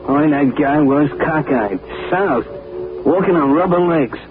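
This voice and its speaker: A man's voice: fairly high pitch, measured pace, close to an everyday voice. An actor playing a sneering male dockside thug with slangy, menacing street talk in a 1940s radio drama. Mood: sly. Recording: tinny old radio broadcast with hiss.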